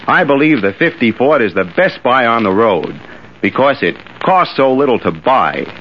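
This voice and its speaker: A man's voice: medium pitch, big pitch swings, normal speed. An American man reading a scripted customer testimonial for an old radio advertisement. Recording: muffled old radio recording, faint noise.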